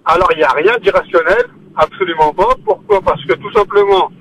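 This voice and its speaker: A man from France is a caller on a radio phone-in. His voice is notably high and he makes his point insistently, in casual speech, over faint background noise. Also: huge pitch swings, a little fast, gravelly, fairly bright tone, poor audio.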